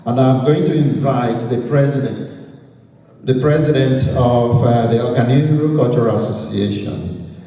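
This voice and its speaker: A man acting as emcee at a community ceremony, speaking in a measured way with pauses and fillers. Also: mid-range voice, dark tone. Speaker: event emcee. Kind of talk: ceremonial speech at a community event. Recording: echoey hall recorded from far off.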